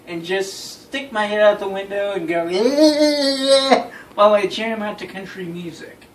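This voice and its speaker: A man's voice: high-pitched, animated, measured pace. A young adult man telling a story casually to friends, exasperated, emphatic and given to repeating himself. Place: small bedroom, indoors. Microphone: phone camera across the room. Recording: roomy, noisy recording, distant phone mic.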